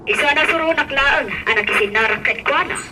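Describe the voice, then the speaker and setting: Actress as distant-sounding earnest Filipina aunt. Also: female voice, high-pitched, brisk, noisy background, close to an everyday voice. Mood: grave, explaining. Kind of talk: radio drama acting.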